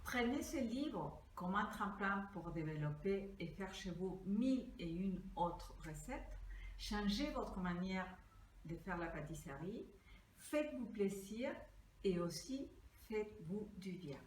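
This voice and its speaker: An older woman from Peru, a pastry cookbook author, gives an earnest, deliberate crowdfunding pitch, reading partly from her notes. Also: expressive, a little hiss or hum. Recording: echoey room, mic at a distance.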